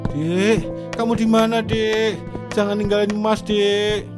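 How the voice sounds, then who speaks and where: A man's voice: sits high, level tone, takes it slowly, over music. A man voicing a greedy, self-pitying blinded husband in a cartoon drama, talking in a whiny, defensive way. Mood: pleading. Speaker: Adun. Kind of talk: cartoon voice acting.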